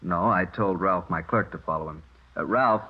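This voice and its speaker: An actor playing a brisk, assured American druggist in a 1950s radio drama, with a husky voice. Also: male voice, deep voice. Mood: assured, indignant. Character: brisk, suspicious male neighborhood druggist. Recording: muffled vintage radio recording.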